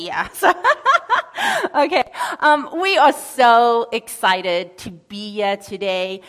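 A woman missionary with a booming voice, speaking upbeat to a church congregation and thanking them warmly.

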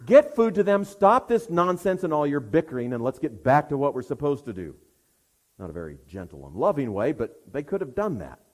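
Male preacher for expository sermon. Medium-pitched voice, very lively rise and fall, emphatic phrasing with rhetorical pauses.

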